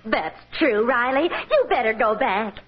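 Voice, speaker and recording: Female, American, exasperated-housewife type for vintage radio sitcom, expressive, very animated, muffled broadcast sound. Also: a little high, a little slow. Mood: warning. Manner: expressive, admonishing. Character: long-suffering, scolding yet loving working-class wife. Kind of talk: 1940s-50s American radio sitcom acting.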